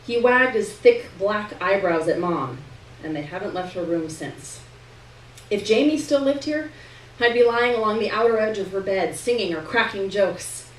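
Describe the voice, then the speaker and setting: A woman giving an expressive first-person reading of a novel chapter at a live event, with dramatic pauses and shifting emphasis. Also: medium pitch. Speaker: reader performing a novel chapter to an audience. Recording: roomy, distant mic, faint noise.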